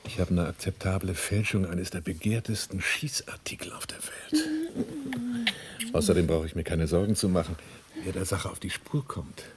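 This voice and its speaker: An actor playing a sly, self-assured arms dealer in a German radio drama, with a suave, dryly ironic manner. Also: male voice, sits low, fairly even intonation, normal speed, crowd noise, close to an everyday voice. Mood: smug.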